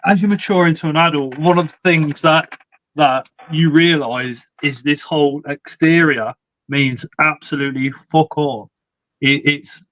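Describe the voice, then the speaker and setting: A man's voice: a little high, fairly bright tone, no room sound. Man, forties, chatty stream host, dry muffled sound. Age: 40s. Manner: chatty, swears casually, laughs often. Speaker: livestream host. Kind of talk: casual live chat, reading comments.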